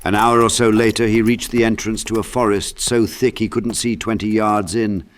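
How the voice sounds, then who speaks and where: A man giving a dramatic scripted narration of a dark fantasy audiobook. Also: on the low side, little pitch movement, moderate pace.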